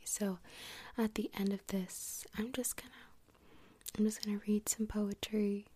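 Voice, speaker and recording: A woman hosting soft-spoken ASMR in a whispery, breathy voice, rambling gently and unhurriedly close to the microphone. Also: medium pitch. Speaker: host of an ASMR podcast. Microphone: close USB microphone.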